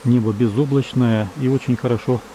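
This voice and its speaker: Man, beekeeper, from Ukraine, dark mellow tone, slight fry, measured, outdoors on a camera mic, faint bee hum. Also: mid-range voice, steady tone, rough recording. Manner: measured, matter-of-fact, reads out times and temperatures. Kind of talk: calm narration of apiary footage. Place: outdoors at an apiary beside hives. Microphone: camera's built-in mic. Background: buzzing bees.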